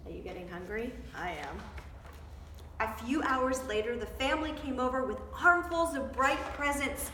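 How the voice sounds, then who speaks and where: Woman reading a storybook aloud, high voice. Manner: animated, dramatic, pausing to ask listeners questions. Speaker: literacy coach reading aloud to children. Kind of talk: expressive children's storybook read-aloud with asides. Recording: roomy echo, background noise.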